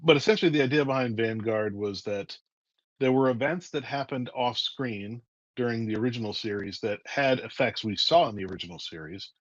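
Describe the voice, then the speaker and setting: A man, a writer interviewed as guest, telling stories in a lively way and acting out scenes. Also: mid-range voice, a little fast, dry recording, dull audio. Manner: lively, acts out scenes with voices. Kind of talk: animated storytelling in a casual interview.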